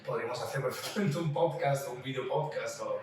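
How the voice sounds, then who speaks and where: A man's voice: mid-range voice, brisk. Talkative Italian man in an echoey room. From Calabria, southern Italy. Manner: talkative, jokey. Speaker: podcast co-host. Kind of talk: casual chat. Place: living room at home. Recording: echoey room, distant mic, clear noise.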